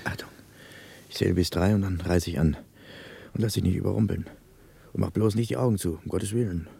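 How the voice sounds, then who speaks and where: Tiefer, kratziger Kamerad im Hörspiel. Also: male voice, low-pitched, steady tone, a little fast, gravelly, mellow, husky, no echo, heavily acted. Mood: eager, bossy. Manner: colloquial, wry, chummy. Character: Lu Yo, grumbling, bantering male soldier comrade. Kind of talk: German radio play acting.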